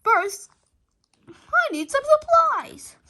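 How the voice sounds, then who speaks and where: A woman's voice: extremely high pitch, very animated, very slow, bright, forceful tone, voice pushed up, full character voice. A woman voicing a pompous, defiant male superhero who declaims in a silly children's storybook. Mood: heroic resolve.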